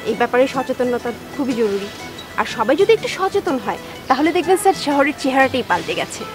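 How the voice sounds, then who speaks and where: Outspoken young woman for TV drama: very high, lively voice, easily irritated. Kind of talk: Bangla TV drama acting.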